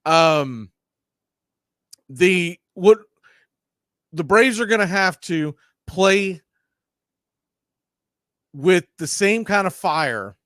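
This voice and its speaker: Sports stream host, male, slightly high register, opinionated and casual, often self-correcting, very dry audio. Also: animated, extremely slow, pauses often, fairly bright tone, no echo. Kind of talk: casual live sports talk.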